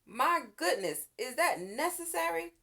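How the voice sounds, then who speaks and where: Fussy, proper mother in a children's tale done by a woman, expressive. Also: high-pitched, a little slow, voice pushed up, exaggerated voice. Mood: prim, fussy. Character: proper, fussy storybook mother, proud of her boys.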